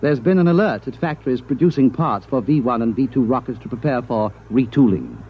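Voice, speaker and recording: An actor playing a dry, dutiful army captain in 1950s radio style, husky and understated. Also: male voice, medium pitch, expressive, a little fast. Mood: grave. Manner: understated, formal. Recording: muffled old radio recording.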